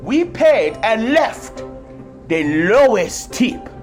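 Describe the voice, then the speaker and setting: High-voiced woman giving a slow dramatic reading. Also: big pitch swings, darkish tone, faint music behind. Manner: theatrical, exaggerated delivery. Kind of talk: dramatic reading of an online review.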